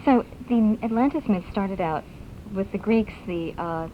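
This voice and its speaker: A female radio host, heard through a thin, narrow shortwave signal with faint noise. Her voice sits at an average pitch. She interviews conversationally, thinking out loud and stacking one curious question on another. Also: measured pace. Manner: inquisitive, thinks aloud in piled-up questions. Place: radio broadcast, taped off air. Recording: muffled, noisy shortwave radio broadcast on cassette.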